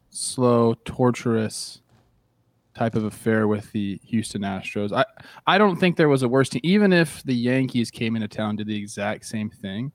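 A man's voice: fairly low pitch, no room sound, clean studio sound. A man joining a sports podcast remotely as a guest, blunt and exasperated, often saying dude. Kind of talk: casual sports chat. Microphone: remote feed.

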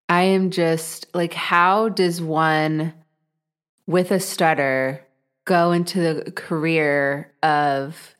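A woman interviewer asking thoughtful, measured questions. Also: sits low.

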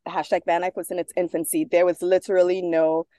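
A woman from Trinidad, a guest author speaking in a relaxed interview, thoughtful and sprinkled with fillers and restarts. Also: fairly low pitch, a little fast, dry recording. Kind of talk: casual interview talk.

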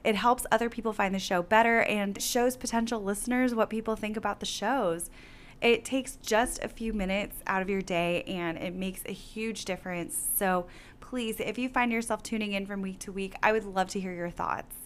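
A woman's voice: medium pitch, steady tone, moderate pace, slight background noise. A young woman hosting a wellness podcast, effusive and encouraging, recovering from laryngitis. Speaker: podcast host. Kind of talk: casual interview and scripted outro.

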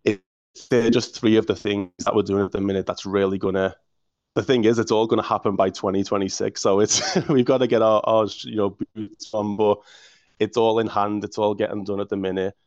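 A man's voice: fairly low pitch, little pitch movement, fairly quick, a little mellow, dry recording. A man with a Liverpool accent, a council official answering interview questions with enthusiasm and frequent restarts. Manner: enthusiastic, restarts words often. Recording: muffled dry audio.

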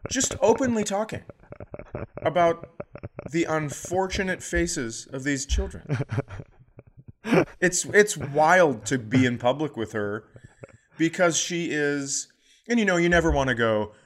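A middle-aged man hosting a podcast, telling a funny story with lively asides and quick impressions of the people in it. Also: a little high. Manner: animated anecdote-telling, mimicking others in quotes. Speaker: podcast host and comedian. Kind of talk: comic storytelling chat.

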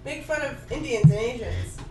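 A woman's voice: fairly high pitch, lively intonation, moderate pace, darkish tone, distant mic, a little hiss or hum. A young woman joining in casual banter, wry and protesting. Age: young adult. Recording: roomy, away from the mic.

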